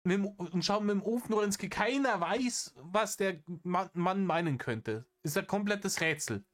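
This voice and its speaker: A man's voice: high-pitched, lively intonation, a little slow, fairly bright tone. A man giving satirical reaction commentary in an exasperated, sarcastic manner, swearing freely.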